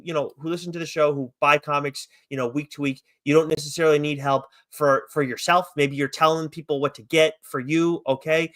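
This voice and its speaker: A man with a fairly high voice hosts a casual review podcast. He rambles along with enthusiasm and swears loosely as he goes. The sound is very dry, with no room on it. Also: pushed and bright, dry recording. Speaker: podcast co-host reviewing comics.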